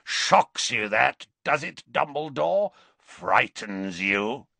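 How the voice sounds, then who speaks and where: A man voicing a rasping, bloodthirsty werewolf villain in an audiobook, slowly savouring his words with menace. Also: medium pitch, very animated, sharp tone, no room sound, pitched above the performer's own voice, full character voice. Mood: taunting. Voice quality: rasping bark, gravelly.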